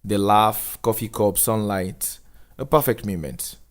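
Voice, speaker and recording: A man narrating a dramatic script, setting scenes in a deliberate, solemn way. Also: on the low side.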